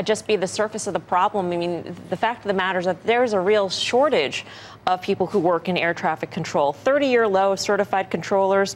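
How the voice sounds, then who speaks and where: A woman's voice: mid-range voice, a little hiss or hum. A woman interviewing a guest on live TV news with pointed, probing questions.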